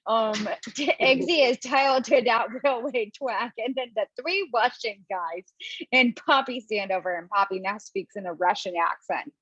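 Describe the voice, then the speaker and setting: A woman's voice: a little high, fairly bright tone, breathy edge, dry recording. Woman narrating stage directions, dry dull mic. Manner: brisk, laughs at her own slips. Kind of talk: amateur table read over video call.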